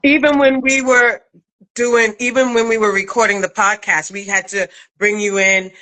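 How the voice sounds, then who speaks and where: Bright, forceful woman interviewer. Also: medium pitch, level tone, moderate pace, rough recording. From Brooklyn, USA. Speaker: interviewer, acting coach and director. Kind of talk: casual interview chat.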